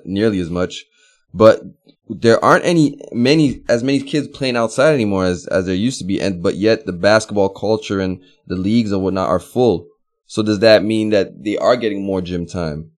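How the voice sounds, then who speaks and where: A man from Montreal interviews a guest in a relaxed, casual way. His voice sits fairly low, and he restarts his words as he shapes each question. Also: normal speed.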